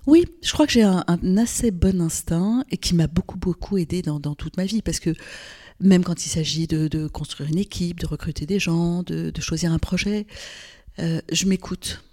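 A woman's voice: lowish voice, moderate pace, warm tone. Thoughtful older woman from Lebanon. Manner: thoughtful, frequent restarts and hesitations. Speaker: guest, gynecologist. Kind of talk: reflective interview conversation.

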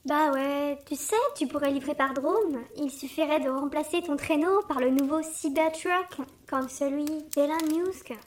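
Smug high-voiced young start-up son. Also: male voice, steady tone, measured pace, few pauses, slight background noise. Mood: smug, enthusiastic. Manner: smug, jargon-laden, salesman-like patter. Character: Santa's smug young adult son, eager tech start-up boss. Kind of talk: comedic audio fiction acting.

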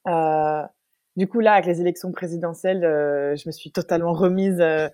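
A young woman from France, a guest talking in a casual interview, reflective and thoughtful, pausing often with fillers and restarting her sentences. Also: on the low side, fairly even intonation, dark tone, no room sound, clean studio sound. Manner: reflective, many hesitations and restarts.

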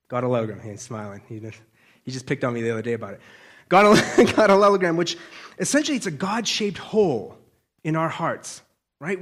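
Higher-voiced man preaching informally. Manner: colloquial, frequent checking questions to listeners. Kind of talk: informal conversational sermon.

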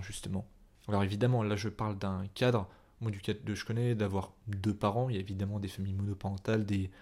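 A man's voice: fairly low pitch, a little mellow. A young man hosting a solo podcast in an informal, chatty way, often wandering off into asides and interrupting himself. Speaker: podcast host. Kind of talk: casual solo podcast monologue.

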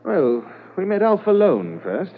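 A man playing a folksy, talkative small-town neighbor in a 1950s radio drama, with a conversational, drawn-out delivery. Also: high voice, huge pitch swings, exaggerated voice. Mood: folksy, chatty. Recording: tinny, noisy old radio transcription.

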